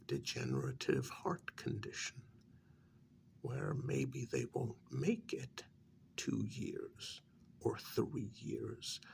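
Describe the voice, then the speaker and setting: A man playing a patient but exasperated vampire official in a soft-spoken ASMR role-play, halting and coaxing, with a breathy voice that often nears a whisper. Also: medium pitch, slight background noise, exaggerated voice. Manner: halting, coaxing, many pauses.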